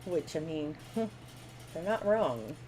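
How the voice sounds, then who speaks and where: A woman's voice: sits low, very animated, very slow, music in the background, rough recording. A woman co-hosting a live stream, giving blunt, opinionated commentary in a casual way. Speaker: co-host.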